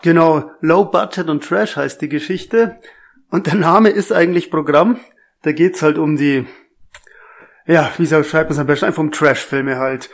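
A man co-hosting a horror movie podcast over a remote line, explaining things in a relaxed, easygoing way. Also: on the high side, moderate pace. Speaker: podcast co-host. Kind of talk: casual podcast chat.

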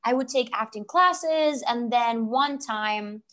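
A young woman from Rico, telling her story as a guest in a lively, rambling way with lots of "like". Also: on the high side, slow pace. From Puerto Rico. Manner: animated, rambling storyteller, frequent "like". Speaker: guest actress. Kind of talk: casual interview storytelling.

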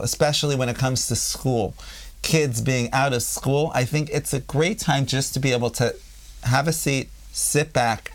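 A man with an American accent hosting a podcast, explaining and telling stories in a relaxed conversational way, often repeating phrases as he thinks. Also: medium pitch. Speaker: podcast host. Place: room in Israel.

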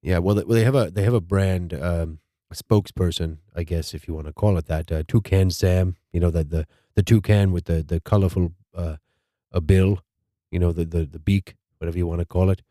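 A man hosting a casual podcast, stuffed up, talking in a rambling, halting way and repeating his words. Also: low voice, steady tone, dark tone, dry recording, clean studio sound. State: stuffed up nose. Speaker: podcast host.

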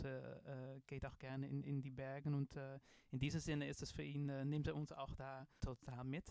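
A man's voice: medium pitch, vocal fry, a little mellow, smooth, no echo. A middle-aged man with a Dutch accent, a design professor answering in a podcast interview, hesitant with many fillers and restarts. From Netherlands.

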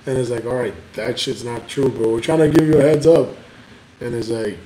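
A man rambles through a loose podcast chat, cursing freely and stacking up filler words. His voice is mellow and dark. The room is echoey, with a faint hum of noise behind him. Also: mid-range voice, steady tone, fairly quick. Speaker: podcast co-host. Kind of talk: casual chat.

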